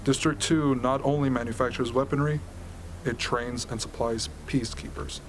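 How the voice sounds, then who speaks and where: Radio play briefer, male actor: serious, explanatory, mid-pitched, slight background noise. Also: fairly even intonation, moderate pace, lightly acted, low-quality recording. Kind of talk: amateur radio drama acting, expository briefing. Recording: hobby recording with ambient noise.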